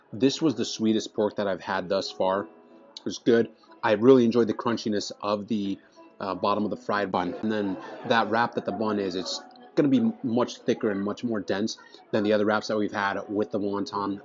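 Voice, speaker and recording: A man in his thirties talks eagerly to the camera as he tastes dishes at a restaurant table, often restarting his phrases. His voice sits low. The recording is a little muffled, with faint music playing behind him. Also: fairly even intonation, normal speed. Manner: enthusiastic, restarts phrases while tasting. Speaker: food vlogger. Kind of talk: casual food review to camera. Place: indoor restaurant dining room. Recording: slightly dull sound, faint music behind.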